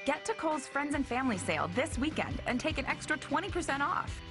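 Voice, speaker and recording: A woman's voice: high-pitched, very animated, a little fast. A woman reading a scripted sale promotion as a retail announcer. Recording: music behind.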